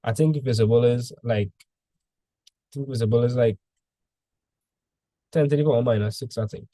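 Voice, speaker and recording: A man's voice: mid-range voice, moderate pace, dark tone, no echo. A male teacher tutoring students in a casual session, full of "like" fillers, quizzing them with questions as he goes.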